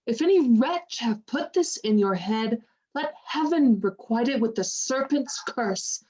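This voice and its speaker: An actress playing a loyal, frank lady's maid in a Shakespearean radio play, firm, emphatic and measured. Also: fairly high pitch, lively intonation, warm tone, poor audio. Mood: indignant, earnest. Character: loyal, frank lady's maid, staunchly protective of her mistress.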